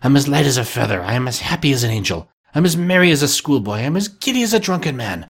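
A man's voice: on the low side, fast, vocal fry, a bit bright, breathy edge, heavily acted. A man playing an old reformed miser in a storybook reading, speaking fervently in repeated exclamations. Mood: giddy joy.

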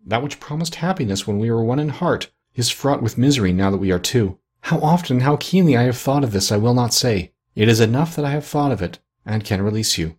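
A man voicing a gentle, sorrowful young woman who speaks softly and steadily, in an audiobook reading. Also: mid-range voice, animated, fairly quick, few pauses, slightly rough. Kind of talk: audiobook character voice.

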